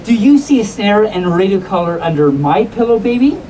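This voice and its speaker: A woman voicing a proper, suspicious storybook mother bear in slow, deliberate answers, on a distant mic in a crowded room. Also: mid-range voice, lively intonation, dark tone, a little hiss or hum, natural voice. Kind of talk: storybook read-aloud.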